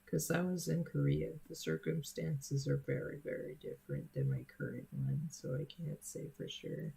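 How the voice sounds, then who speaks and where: A young adult streamer, a native Spanish speaker from the United States, chatting casually with viewers in an unhurried, hesitant way with many fillers. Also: medium pitch, animated, creaky, dark tone, breathy edge, poor audio.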